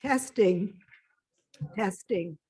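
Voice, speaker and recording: A soft-spoken woman doing a microphone check before giving a lecture in a congregation hall. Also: medium pitch, drawn-out words. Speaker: guest lecturer.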